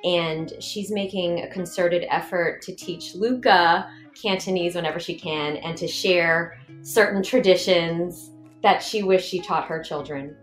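Effusive woman performer telling her story, lowish voice, roomy sound, faint music underneath. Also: steady tone, unhurried. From Washington, D.C. area, USA. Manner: effusive and upbeat. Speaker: performer, director and choreographer. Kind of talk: first-person audio portrait narration.

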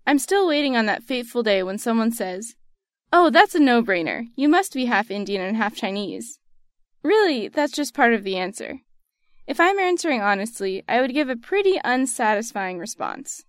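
A young woman with a General American accent reads her own essay, earnest and reflective. Her voice sits a little high. It is recorded close and dry, with no room sound. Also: sing-song, moderate pace, no echo. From United States. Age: young adult. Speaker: student essayist. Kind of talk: personal essay narration.

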